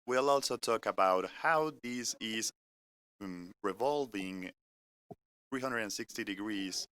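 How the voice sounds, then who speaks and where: A man from France with a French accent, speaking in English as he gives a measured, earnest business presentation that moves point by point through an agenda. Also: fairly low pitch, fairly bright tone, clean tone. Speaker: corporate keynote speaker. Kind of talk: live-streamed business presentation. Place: company innovation center studio.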